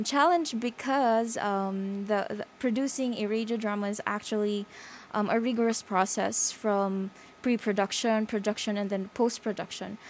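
A woman's voice: medium pitch, a little hiss or hum. A young woman with a Filipino accent, a student representative, speaking earnestly on air with some fillers. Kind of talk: radio studio remarks.

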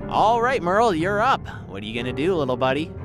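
A playful male game master, played by an actor, whose pitch leaps about. He is excitable yet conversational in a comic dub, over loud music. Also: fast talker, natural voice. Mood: encouraging. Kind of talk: comic fan dub acting.

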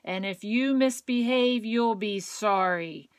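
A woman voicing a harsh, strict substitute teacher who hisses and snaps her orders, in a slow storybook reading. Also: medium pitch, dry recording, studio quality, close to an everyday voice. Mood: stern. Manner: hissing, snapping, loud.

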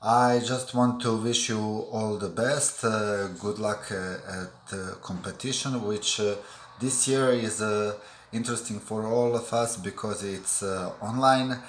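A man in his thirties with a Bosnian accent gives a halting, informal greeting. His voice is fairly low, and it rings in an echoey room with a little background noise. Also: fairly even intonation. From Bosnia and Herzegovina. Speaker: festival director and guitarist. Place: small bright indoor room by windows.

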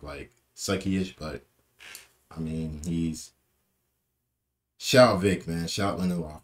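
A young man giving a casual, rambling post-match football reaction, full of fillers and repeated words, from a small room. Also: low voice. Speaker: football fan vlogger.

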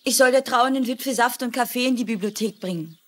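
Casting: gossipy, disapproving domestic servant, higher register, dubbed series. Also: female voice, brisk, a bit bright. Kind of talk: dubbed TV drama acting.

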